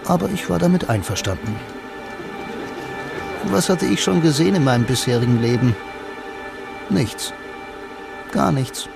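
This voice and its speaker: An actor playing a blind, film-loving loner, narrating a radio play in a dry, wry, laconic manner. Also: male voice, mid-range voice, lively intonation, measured pace, creaky, long pauses. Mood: wry, self-questioning. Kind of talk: first-person radio drama narration and dialogue. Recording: loud music behind the voice.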